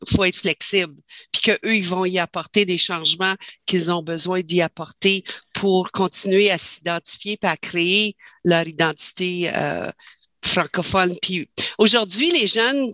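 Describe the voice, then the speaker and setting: Bright-voiced woman. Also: fairly low pitch, unhurried, no room sound. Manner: thoughtful, repeats words as she thinks. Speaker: panel guest. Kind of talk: discussion panel.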